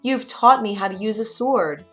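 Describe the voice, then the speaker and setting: A woman's voice: mid-range voice, expressive, normal speed, faint music behind, pitched above the performer's own voice, close to an everyday voice. A woman voicing a brave young woman warrior in a storybook reading, in a raised, earnest and pleading tone. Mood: determined. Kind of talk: storybook character voice.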